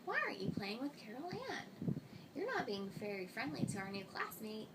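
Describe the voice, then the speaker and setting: A woman voicing a concerned, gently questioning female schoolteacher in a children's storybook. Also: a little high, very animated, moderate pace. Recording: distant mic, loud noise.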